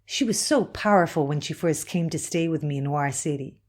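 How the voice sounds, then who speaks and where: A woman's voice: sits low, brisk, music in the background, close to an everyday voice. A woman playing the earnest, loyal best friend of a missing woman, firmly giving her account in a scripted podcast interview. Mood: wistful.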